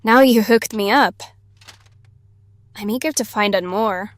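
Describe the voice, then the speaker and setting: A woman's voice: mid-range voice, measured pace, dry recording, lightly acted. A woman playing a blunt, curious, defiant sixteen-year-old girl with a casual, sweary manner in an audio drama. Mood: curious, teasing.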